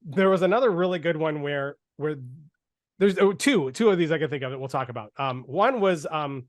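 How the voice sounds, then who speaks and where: A man's voice: on the high side, expressive, fairly quick, no room sound, clean studio sound. A man co-hosting a podcast over a video call, chatting casually and laughing often. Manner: laughs often, restarts phrases. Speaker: podcast co-host. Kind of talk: casual chat.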